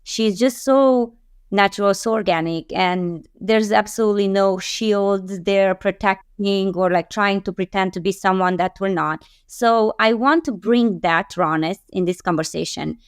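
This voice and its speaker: A woman's voice: mid-range voice, measured pace, clean tone, no room sound, clean studio sound. A woman from Romania hosting a casual interview, bubbly and emphatic, laughing often and building lists of words. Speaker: podcast host.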